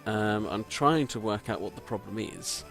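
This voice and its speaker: A man with a croaky voice, giving rambling, self-deprecating gameplay commentary from a home mic and swearing casually. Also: on the low side, moderate pace, a bit bright, soft background music. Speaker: let's play commentator. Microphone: home desk microphone.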